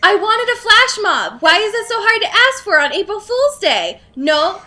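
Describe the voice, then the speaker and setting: A woman's voice: very high-pitched, very animated, moderate pace, sharp tone. A young woman co-hosting a casual comedic podcast, swearing freely and exaggerating in slangy talk. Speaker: podcast co-host. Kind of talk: casual comedic book discussion.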